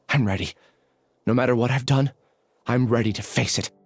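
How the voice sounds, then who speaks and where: A man's voice: mid-range voice, unhurried, breathy edge, no room sound. An actor playing a timid, earnest young man in a video game, nervous and hesitant. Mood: nervous, resolved.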